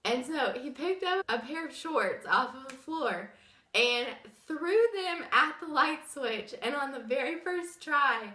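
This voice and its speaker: A woman's voice: high voice, lively intonation, unhurried, pushed and bright, roomy sound. A young woman with a sick, wheezy voice, chatting and rambling through a casual story time vlog. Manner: chatty, rambling with many fillers. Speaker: vlogger.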